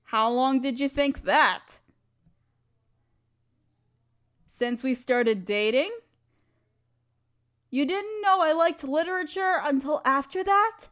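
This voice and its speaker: A woman playing an affectionate, gently teasing wife who shares love poems with a partner in an intimate roleplay audio. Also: high-pitched, measured pace. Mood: affectionate amusement.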